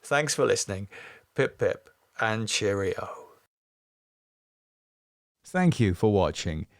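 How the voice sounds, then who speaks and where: A man's voice: on the low side, lively intonation, slow pace, dry recording, clean studio sound. A middle-aged British man hosting a podcast, reading his own writing and talking casually to listeners. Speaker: podcast host and writer. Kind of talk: scripted screenplay reading and casual podcast talk.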